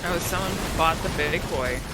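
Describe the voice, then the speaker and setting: A man's voice: on the high side, moderate pace, very noisy. A man playing a co-op game with friends, talking in an easygoing, slightly hesitant way. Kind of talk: casual gameplay chat. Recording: game music loud behind voice.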